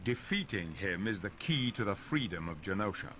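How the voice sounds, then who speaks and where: A low, composed older professor from a video game. He speaks formally and with authority, ready to reprove, over a faint background noise. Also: very animated, normal speed, a bit bright. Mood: grave, composed. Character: dignified older male professor and leader, calm but reproving.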